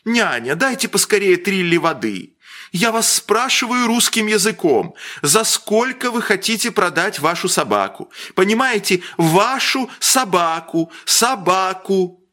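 Man putting on a spoiled grand lady, high shrill voice, bossy and impatient; story reading. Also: lively intonation, measured pace, pushed and bright, exaggerated voice. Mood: exasperated. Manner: shrill, exasperated, commanding.

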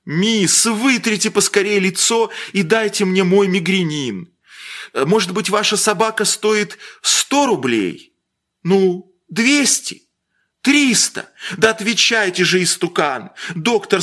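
Man putting on a spoiled grand lady, high shrill voice, bossy and impatient; story reading. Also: sing-song, a little slow, sharp tone, heavily acted. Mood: exasperated. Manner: shrill, exasperated, commanding.

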